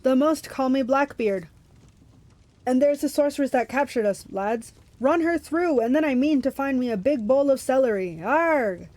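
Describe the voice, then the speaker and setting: A woman's voice: high-pitched, normal speed, darkish tone, dry recording, pitched above the performer's own voice, natural voice. A woman voicing a burly male pirate captain who growls and barks orders in pirate slang. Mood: gruff. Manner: growling, boisterous pirate talk. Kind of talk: storybook reading.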